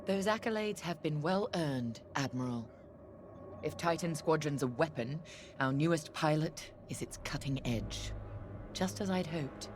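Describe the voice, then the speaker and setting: A woman playing a composed, stern squadron commander in a video game, measured and formal. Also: deep voice, expressive, noisy background. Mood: proud. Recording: music behind.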